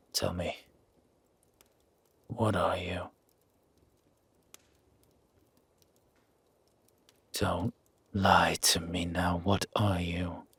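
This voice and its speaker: Male actor as gruff werewolf, very husky growl, low pitch, slow and terse, wary yet kind, audio roleplay. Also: a bit bright, dry recording, full character voice. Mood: suspicious, probing. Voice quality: raspy, gravelly whisper-edged growl. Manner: slow, brooding, terse commands. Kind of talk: roleplay audio drama acting.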